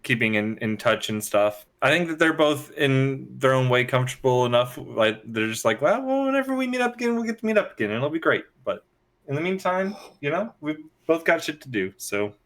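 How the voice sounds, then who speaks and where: A man talks casually at a middle pitch, with an easygoing and slangy delivery. He sounds like a podcast co-host chatting with friends. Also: big pitch swings, brisk, a bit bright. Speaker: podcast host and tabletop player. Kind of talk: casual chat.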